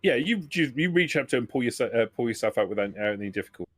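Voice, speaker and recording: The man behind the screen at a tabletop game night, mid-pitched and close, feeling his way through each explanation with repeats. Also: lively intonation, fast, fairly bright tone, no echo. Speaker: game master. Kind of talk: narrating a tabletop roleplaying game.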